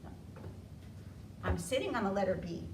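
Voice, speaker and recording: A woman's voice: medium pitch, expressive, moderate pace. A woman hosting storytime for young children, coaxing them along with questions as she tells stories and rhymes. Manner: coaxing, asking the listeners questions. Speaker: children's library storytime host. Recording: echoey room, distant mic, clear noise.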